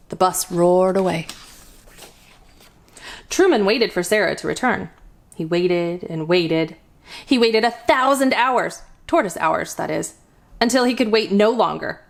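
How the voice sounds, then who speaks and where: A woman hosting a children's storytime, reading a picture book aloud in an expressive, deliberate storytelling manner. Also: mid-range voice, vocal fry. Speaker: children's storytime host and reader.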